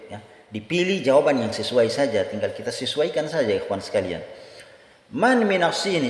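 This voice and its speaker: A man with an Indonesian accent giving a language lesson, reading phrases aloud, repeating them and explaining them didactically. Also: on the high side. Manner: didactic, repeats phrases and asks himself questions. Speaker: Arabic language teacher. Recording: echoey room with long reverb.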